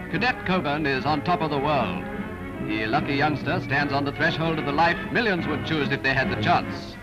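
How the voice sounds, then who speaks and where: A man's voice: fairly high pitch, low-quality recording. A British man reading scripted 1930s newsreel narration in a grandiose, declamatory, rousing manner. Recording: old film soundtrack from VHS, loud music. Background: loud newsreel music.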